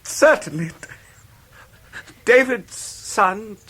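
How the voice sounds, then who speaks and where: An actor playing a kindly, childlike English gentleman, gleeful and hesitant, repeating himself, in a British radio drama. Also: high voice, animated, very slow, full character voice. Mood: delighted. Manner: hesitant, repetitive, delighted. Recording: faint background noise.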